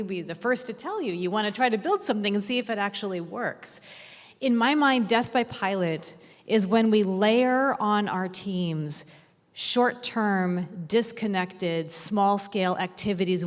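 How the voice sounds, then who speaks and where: A woman giving a closing speech at a conference, emphatic and fond of mock-quoting for effect. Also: mid-range voice. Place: conference room. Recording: long hall reverb.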